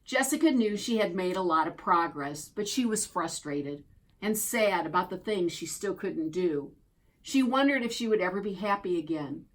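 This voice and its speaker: A woman reading a children's picture book aloud as a storyteller, expressively and deliberately, drawing out key words. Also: lowish voice, expressive, low-quality recording. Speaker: storybook reader.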